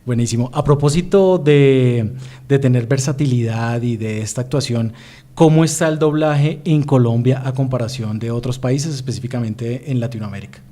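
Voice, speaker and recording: A man with a mellow, relaxed tone asks a voice actress questions in an interview. He speaks at a middling pitch, trails over his words as he searches for phrasing, and offers brief affirmations. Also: normal speed.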